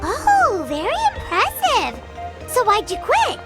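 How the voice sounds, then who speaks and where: A tiny game fairy, extremely high and squeaky like a small child. The delivery swings up and down, chirpy, curious and eager, with clear game music behind it. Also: female voice, huge pitch swings, slow, exaggerated voice. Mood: inquisitive.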